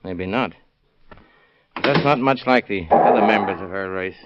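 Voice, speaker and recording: Actor as a low-voiced, shrewd investigator. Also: male voice, moderate pace, very noisy, natural voice. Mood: skeptical. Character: shrewd, dry male investigator, skeptical and methodical. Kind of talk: 1930s radio acting. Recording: muffled vintage radio recording.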